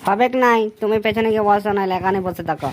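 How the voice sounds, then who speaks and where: A woman's voice: mid-range voice, fast talker, very noisy, close to an everyday voice. Woman voicing a cheeky excuse-making schoolboy. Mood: sheepish. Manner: rambling, pleading, colloquial. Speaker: voice actor in a comedy cartoon. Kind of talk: comedy cartoon dialogue.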